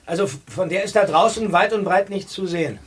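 A man's voice: high voice, brisk, slightly rough. An actor playing a studious, watchful teenage boy detective with hesitant, formal speech in a German audio drama. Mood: curious, fussy.

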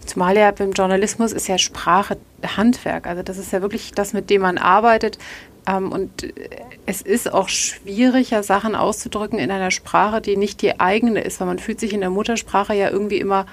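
Woman host, conversational and empathetic, mid-pitched, thinks aloud. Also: fairly even intonation, normal speed. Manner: empathetic, musing, filler-heavy. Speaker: podcast host. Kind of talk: conversational interviewing.

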